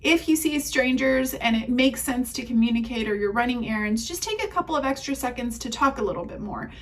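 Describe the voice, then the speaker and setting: A middle-aged woman whose first languages were Spanish and German coaches her listeners in an energetic, friendly, chatty way. Her voice sits fairly high for a woman. She is heard from a little way back from the microphone. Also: a little fast, far from the mic. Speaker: apartment marketing trainer. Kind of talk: upbeat instructional talk to camera.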